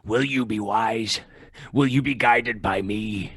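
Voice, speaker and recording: A man's voice: fairly low pitch, creaky, a bit bright, breathy edge, no echo, clean studio sound, full character voice. A man playing a sinister, impatient, murderous alter ego in a gothic radio play, with a menacing, theatrical delivery. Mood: menacing, grandiose. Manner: menacing, theatrical, slow and deliberate. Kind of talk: gothic radio drama acting.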